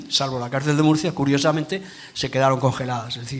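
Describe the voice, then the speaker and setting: A man's voice: mid-range voice, brisk. Man making a critical point at a panel. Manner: critical, precise, building an argument. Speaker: panel participant. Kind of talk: conference panel comment.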